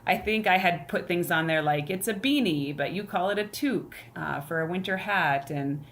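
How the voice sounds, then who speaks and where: A woman's voice: lowish voice, normal speed, a bit bright. A middle-aged woman from Ohio with a North American accent, sharing personal stories casually as an interview guest, with guitar music behind her. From Ohio, United States.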